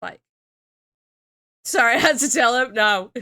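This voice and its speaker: Young woman streamer from the UK, casual, bright and slightly high, laughs a lot and swears freely. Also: unhurried. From United Kingdom. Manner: laughs often, swears, reads chat aloud.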